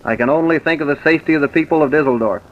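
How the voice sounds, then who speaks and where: An actor playing a stern, insistent police commissioner with deliberate authority, in old-time radio drama style. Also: male voice, a little high, natural voice. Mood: grave, firm. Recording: dull, hissy vintage radio recording.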